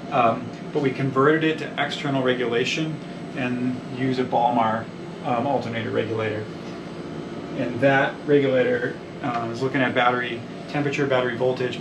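A young man who installs marine electrical systems, explaining the setup on a boat in a technical, rambling way with many ums and restarts. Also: mid-range voice. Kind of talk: technical walkthrough interview. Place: small wooden boat cabin and engine room. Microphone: camera a few feet away. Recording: echoey cabin, distant mic, air conditioning hum.

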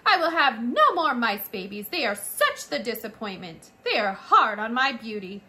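A vain, theatrical mother mouse with a put-on French accent, as a woman reads her aloud in a storybook. She is high and lively, swooping through her self-pitying sighs with a bright, sharp tone. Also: normal speed, reverberant. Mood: imperious.

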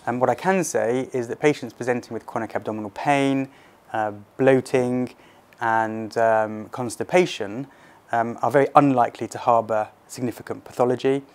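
A British man in his thirties speaking as a consultant surgeon, explaining things to doctors in a measured, clinical interview manner. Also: medium pitch, steady tone.

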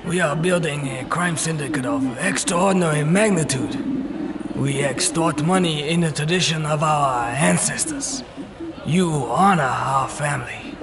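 Solemn old crime patriarch. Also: male voice, fairly high pitch, lively intonation, a little slow. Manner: formal, solemn. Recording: noisy background ambience.

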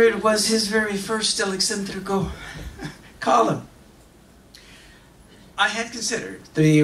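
A middle-aged man giving an expressive, emphatic dramatic reading with deliberate pauses, moving between two languages, at a microphone on a stage. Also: a little high. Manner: switches between English and Spanish. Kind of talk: theatrical dramatic monologue read from a script. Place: theater stage at a podium. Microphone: microphone on a stand. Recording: echoey hall from far off, faint noise.

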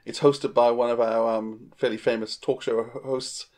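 Man, middle-aged, Australian accent, medium pitch, casual podcast chat, indoor video call. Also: flat delivery, normal speed, darkish tone. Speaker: podcast co-host.

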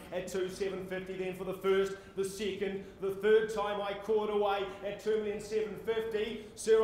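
An Australian man calls a property auction in a high, projecting voice. His delivery is theatrical yet formally courteous. The sound is heavily reverberant, with faint background noise in a conference hall. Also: darkish tone. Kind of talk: live property auction calling. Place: on stage in a large indoor conference hall.

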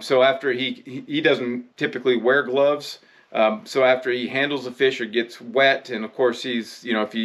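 An American man in his fifties talks casually to camera as a presenter of how-to videos. His voice sits at a medium pitch. He explains things conversationally, with a halting, unscripted delivery. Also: a bit bright. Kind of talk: casual instructional vlog talk. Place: indoor room with rod racks.

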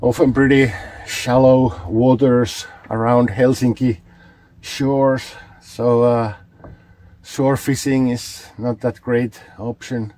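A man's voice: mid-range voice, flat delivery, slow, dark tone. A middle-aged man narrating a fishing vlog casually, in an understated, dryly humorous way. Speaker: fishing vlogger.

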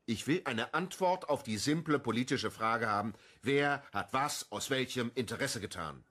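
An actor playing a cynical, menacing police official in a radio crime drama, taunting and deliberate. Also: male voice, mid-range voice, animated, pushed and bright, husky. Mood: smug, threatening.

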